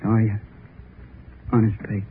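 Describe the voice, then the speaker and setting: An actor playing a carefree, playful young groom who jokes boisterously, in 1930s radio acting style. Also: male voice, on the low side, completely flat delivery, a little slow, close to an everyday voice. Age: young adult. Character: carefree joking young groom, happy-go-lucky. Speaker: young bridegroom. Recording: tinny old radio recording with faint hiss.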